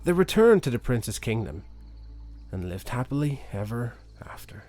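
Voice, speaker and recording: A man's voice: medium pitch, very animated, moderate pace, darkish tone, soft background music. A man reading a fairy tale expressively as a children's storyteller.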